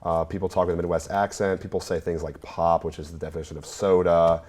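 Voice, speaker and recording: Very deep-voiced young man, rambling. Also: flat delivery, fast talker, darkish tone. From New York State. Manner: rambling and hesitant, many ums and restarts. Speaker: guest. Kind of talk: casual chat.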